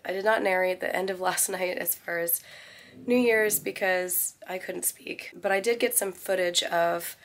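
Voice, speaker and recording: A woman in her forties, hoarse from losing her voice, rambling casually to a phone held at arm's length in a small cruise ship cabin. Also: fairly even intonation, normal speed. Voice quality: low, gravelly. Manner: rambling, self-correcting. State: hoarse, recovering from lost voice. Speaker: travel vlogger. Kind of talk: casual vlog chat to camera.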